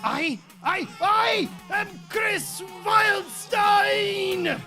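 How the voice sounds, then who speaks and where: An actor playing a deranged, grandiose outcast villain in an audio drama, declaiming theatrically in a shrill voice. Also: drawn-out words, gravelly, sharp tone, faint music behind, full character voice. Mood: gloating, manic. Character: deranged, grandiose forgotten outcast craving immortality.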